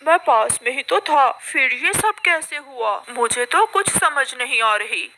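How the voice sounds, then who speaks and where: A woman's voice: sits high, lively intonation, a little slow, sharp tone, a little hiss or hum, exaggerated voice, rough recording. A woman voicing a bewildered father bird in a cartoon. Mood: puzzled. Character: puzzled hungry father bird.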